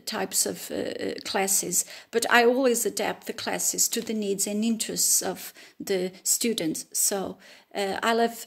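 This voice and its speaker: A woman in her fifties from Portugal, speaking English with a Portuguese accent and introducing herself as a language teacher, earnest and pausing often with fillers. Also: medium pitch, creaky. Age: 50s. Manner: earnest, hesitant with frequent fillers. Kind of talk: self-introduction video. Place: room with wooden ceiling, indoors.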